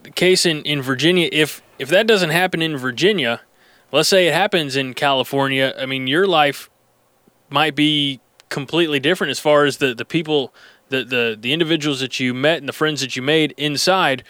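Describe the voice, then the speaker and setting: A male host with a smooth, resonant voice, pitched a little higher than most men. He asks long, halting questions full of restarts and fillers. The recording is close and dry, with no room sound. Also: sharp tone, dry recording. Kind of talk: interview questions.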